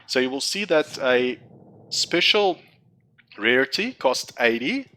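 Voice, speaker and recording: A man's voice: mid-range voice, expressive, slow, bright, forceful tone. Chatty Afrikaans-speaking man explaining a game. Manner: rambling, self-correcting, chatty explainer. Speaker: gaming content creator. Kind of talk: casual game tutorial commentary.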